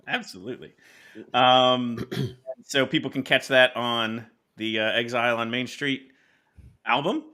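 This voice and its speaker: A man hosting a casual music interview, appreciative and somewhat halting as he promotes shows and events. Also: medium pitch, fairly even intonation, bright, forceful tone. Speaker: podcast host.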